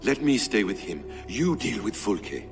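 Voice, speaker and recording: A man voicing a calm, shrewd warrior adviser in a video game, measured and composed. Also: lowish voice, music in the background. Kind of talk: dramatic video game acting.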